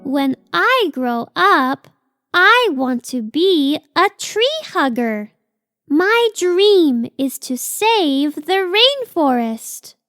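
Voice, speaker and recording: A woman's voice: high voice, big pitch swings, drawn-out words, fairly bright tone, no echo, studio quality, heavily acted. A woman voicing a cute, earnest young female sloth baby in a children's picture book read-aloud, with simple, childlike phrasing. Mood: dreamy, earnest.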